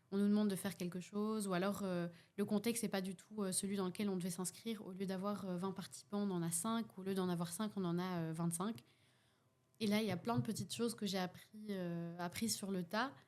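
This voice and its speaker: A young woman with a Belgian French accent talks through her ideas in a relaxed podcast chat. She pauses often, fills gaps with hesitation sounds and restarts her sentences, in a voice of ordinary middle pitch. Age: young adult. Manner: hesitant, many fillers and restarts. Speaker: podcast participant, youth workshop facilitator. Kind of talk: casual podcast discussion.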